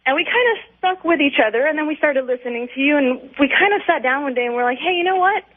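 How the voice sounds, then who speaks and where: Woman calling in on a thin phone line, high voice, chatty n opinionated, kinda rambles. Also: expressive, fast talker. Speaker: radio call-in listener. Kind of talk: casual, candid chat. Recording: tinny, narrow phone line.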